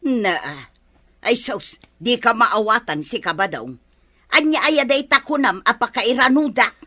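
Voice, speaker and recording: A nagging middle-aged village woman from a radio comedy. Her voice is high and piercingly bright, with an Ilocano accent and a scolding, exclamatory delivery. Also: expressive, a little slow, full character voice.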